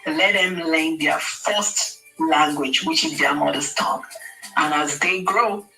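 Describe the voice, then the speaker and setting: A woman's voice: low-pitched, slow, bright, forceful tone, far from the mic. Woman, Nigerian accent, radio guest, earnest, pleading, roomy studio, faint music behind. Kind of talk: impassioned advice on air.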